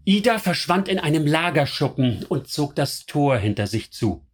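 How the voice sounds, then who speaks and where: A man voicing a devoted young man in a radio drama, narrating in a tender, confessional way over soft music. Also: fairly high pitch, sing-song, normal speed, husky. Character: young man secretly in love, anxious, devoted. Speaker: first-person narrator.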